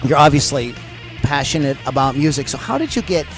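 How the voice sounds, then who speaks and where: A man hosts a rock podcast with loose enthusiasm. He rambles and fills pauses with "uh" while setting up his guest. Faint music plays behind him. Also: medium pitch, animated. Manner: enthusiastic, rambling, many fillers. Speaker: podcast host. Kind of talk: podcast interview hosting.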